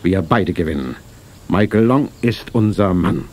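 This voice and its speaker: An actor playing a determined, visionary older gentleman in a radio play, speaking in a measured, resolute way. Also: low-pitched, expressive. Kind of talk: dubbed-style radio play acting. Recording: faint noise on cassette transfer.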